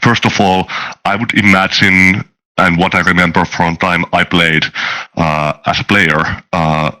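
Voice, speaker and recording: Deep, bright-voiced man answering hesitantly. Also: flat delivery, unhurried, low-quality recording. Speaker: guest, tabletop game master. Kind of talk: casual interview answers.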